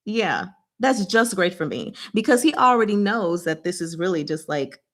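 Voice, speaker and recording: A woman's voice: lowish voice, sing-song, moderate pace. A woman casually recapping a novel in a book discussion, with a chatty, playful, giggly manner and paraphrased dialogue acted out in slang.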